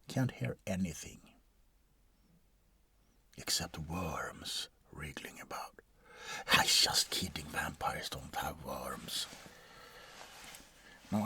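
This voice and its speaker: A man playing a bored, sardonic, centuries-old vampire doctor in a soft-spoken, deadpan ASMR role-play, often slipping into a whisper. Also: low voice, slightly rough, no room sound, heavily acted. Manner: deadpan, hesitant, drawn-out, often dropping to a whisper.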